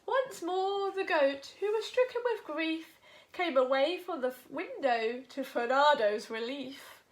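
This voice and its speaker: A woman's voice: high voice, expressive, unhurried. A woman storyteller reading a rhyming children's story expressively.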